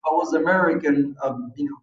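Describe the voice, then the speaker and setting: Roomy, distant-sounding Belgian man, higher-pitched voice. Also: fairly quick, highs cut off. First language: not English. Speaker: interview guest, expedition adventurer. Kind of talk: conversational interview, recounting experiences.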